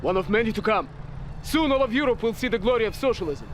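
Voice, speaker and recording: Very high-pitched, eager young Soviet captain. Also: male voice, lively intonation, quick pace, slight background noise. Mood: eager, zealous. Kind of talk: video game cutscene acting.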